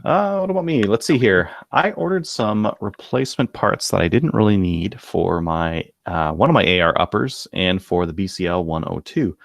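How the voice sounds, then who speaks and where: A man's voice: lowish voice, animated, normal speed, creaky, hardly any pauses, no echo, studio quality. A man co-hosting a podcast, chatting casually and jokingly in a laid-back way. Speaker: podcast co-host. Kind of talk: casual banter about shooting and gear.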